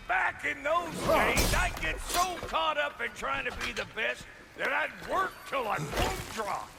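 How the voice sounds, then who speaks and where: Gravelly, wildly swooping dwarf blacksmith. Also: male voice, high-pitched, very noisy, heavily acted. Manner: folksy, irreverent, colloquial. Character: boisterous, cranky, proud dwarf blacksmith. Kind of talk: video game character acting.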